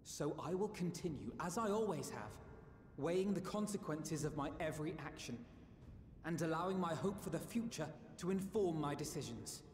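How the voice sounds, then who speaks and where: An actor playing an idealistic young nobleman in a game, formal, deliberate and sincere. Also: fairly high pitch, lively intonation, darkish tone, a little hiss or hum, close to an everyday voice. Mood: earnest, resolute. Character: earnest, idealistic young nobleman, resolute and thoughtful. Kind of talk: video game cutscene acting. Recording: echoey hall, clear music behind.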